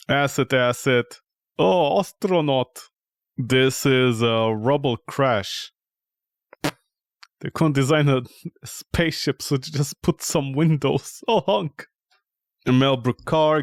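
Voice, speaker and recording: A man's voice: medium pitch, dry recording, clean studio sound. A man streaming a game with casual, mocking, exasperated commentary and plenty of swearing. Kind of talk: casual, profane gameplay commentary.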